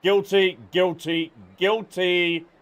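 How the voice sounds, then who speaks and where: A man's voice: sits high, flat delivery, slow, fairly bright tone. A man streaming a video game with casual, playful, teasing commentary and chat with viewers.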